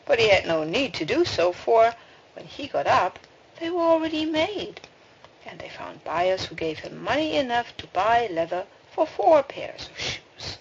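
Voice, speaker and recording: A woman reading a fairy tale aloud as a storybook narrator, with expressive delivery. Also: a little high, unhurried, vocal fry. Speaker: storybook reader. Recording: dull, noisy home recording with clicks.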